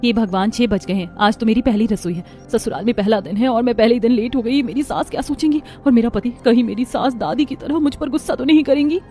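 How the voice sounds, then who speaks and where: A woman's voice: a little high, fast talker, hardly any pauses, warm tone, faint music behind, close to an everyday voice. A woman voicing an anxious, fretful young newlywed bride in a Hindi cartoon story. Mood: panicked. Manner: fretful, apologetic.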